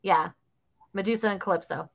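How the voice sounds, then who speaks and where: A woman's voice: mid-range voice, a little fast, vocal fry, lo-fi sound. A woman co-hosting a podcast, talking eagerly about a book she loves. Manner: enthusiastic, opinionated reader. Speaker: podcast co-host. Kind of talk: casual book discussion.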